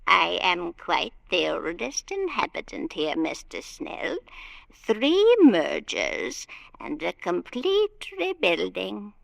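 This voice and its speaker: Creaky, imperious old matriarch. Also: female voice, lowish voice, big pitch swings, slow pace, no room sound, heavily acted. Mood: grand, genteel. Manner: grand, condescending, querulous. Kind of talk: old-time radio drama acting.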